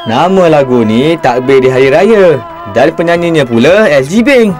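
A man's voice: a little high, expressive, normal speed, darkish tone, faint music behind, natural voice. A man with a Singaporean Malay accent voicing an earnest, sentimental father in a cartoon, explaining things to his children. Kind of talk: cartoon dialogue.

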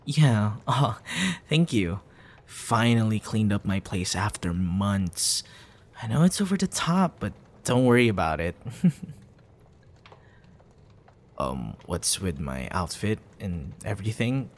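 A man plays a tender young man courting someone close in an intimate audio roleplay. His voice sits a little low, and he talks very slowly. The delivery is soft and hesitant, like a boyfriend ASMR recording. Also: very animated, heavily acted. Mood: shy, pleased. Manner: soft, hesitant, with sighs and chuckles.

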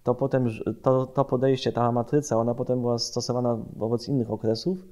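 A man giving expert commentary in an analytical podcast discussion, phrasing his points deliberately and with some hesitation. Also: medium pitch, level tone, warm tone.

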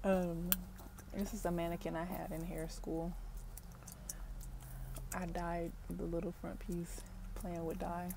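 A woman, chatting casually in a parked car for an ASMR video, often dropping to soft whispers, recorded on a wired earbud mic. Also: lowish voice, flat delivery, takes it slowly, vocal fry, long pauses. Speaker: ASMR vlogger. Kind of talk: ASMR gum-chewing car chat. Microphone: wired earbud mic held near mouth. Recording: faint background noise, mouth clicks.

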